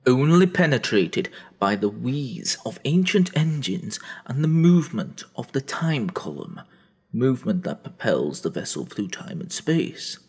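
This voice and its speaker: A man narrating a dramatic audio story in a theatrical, brooding manner, with heavy pauses. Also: medium pitch, very animated, slightly rough.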